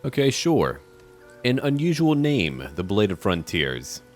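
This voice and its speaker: A man's voice: fairly low pitch, huge pitch swings, unhurried. A man hosting a roleplay video game playthrough, commenting and talking to the characters in first person. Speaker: gaming video host. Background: game music.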